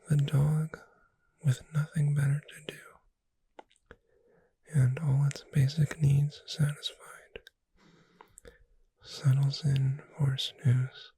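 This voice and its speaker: A performer voicing a gentle witch bookseller in an ASMR roleplay, soothing a customer to sleep with an unhurried, breathy whisper. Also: medium pitch, no echo, full character voice, rough recording. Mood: calm, reading aloud. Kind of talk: ASMR roleplay, reading aloud and describing books.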